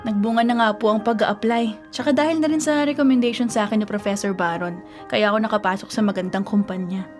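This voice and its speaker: A woman's voice: a little high, fast talker, faint music behind, close to an everyday voice. An actress playing a self-centred, sweet-talking young girlfriend in a Filipino radio drama, with a Filipino accent. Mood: gushing, excited. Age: young adult. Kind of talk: Tagalog radio drama acting.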